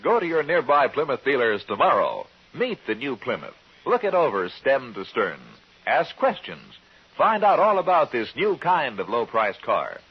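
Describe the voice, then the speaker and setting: A man with a husky voice briskly instructing listeners in a 1950s radio advertisement, on a dull old recording. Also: mid-range voice, big pitch swings. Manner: brisk, instructing sales delivery. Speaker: advertising announcer.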